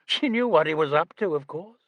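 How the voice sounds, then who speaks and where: A man's voice: a little high, animated, brisk, no echo, muffled recording, pitched above the performer's own voice, close to an everyday voice. A man voicing a languid, self-assured young woman with an airy, amused manner.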